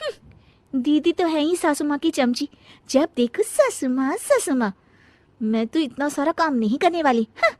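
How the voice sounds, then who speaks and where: A woman's voice: sits high, lively intonation, a little slow, no room sound. A woman playing a lazy, scheming young sister-in-law in a cartoon story, whiny and defiant. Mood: sulky, scornful. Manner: whiny, feigning weakness, defiant.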